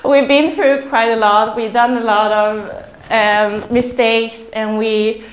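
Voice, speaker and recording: A woman's voice: fairly high pitch, steady tone, slow pace, slight background noise. Nervous Swedish-accented woman in an echoey room. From Sweden. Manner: chatty, laughs often, self-deprecating. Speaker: speaker. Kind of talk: nervous live talk to a small audience. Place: room with audience.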